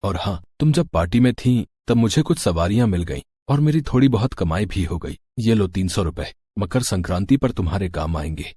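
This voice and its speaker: A man voicing a kind, humble working-class father in a cartoon moral story, gentle and reassuring. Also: deep voice, animated, fairly quick, hardly any pauses, breathy edge, no echo. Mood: calm, reassuring. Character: kind, humble, poor rickshaw-driver father, reassuring husband.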